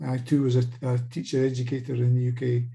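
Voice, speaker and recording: Measured Scottish man, mellow tone. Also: mid-range voice, completely flat delivery, creaky. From Scotland. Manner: measured, thoughtful, frequent small restarts. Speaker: participant asking a question. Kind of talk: webinar discussion. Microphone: video call.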